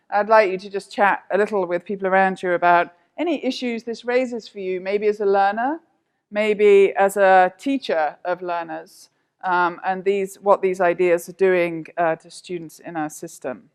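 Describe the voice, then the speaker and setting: A woman university lecturer, calmly introducing a short film to an audience and then interviewing people. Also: mid-range voice, clear, resonant tone.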